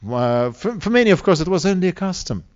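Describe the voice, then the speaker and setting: A man from Siberia with a Russian accent preaches to an audience, speaking deliberately with rhetorical questions and emphatic pauses. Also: sits high, sing-song, highs cut off. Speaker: preacher, evangelistic seminar speaker. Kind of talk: sermon to a live audience.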